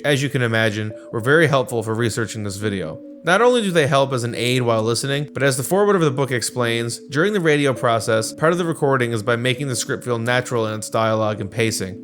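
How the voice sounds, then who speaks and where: A man hosting and narrating a scripted video essay, with music playing behind his voice. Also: mid-range voice.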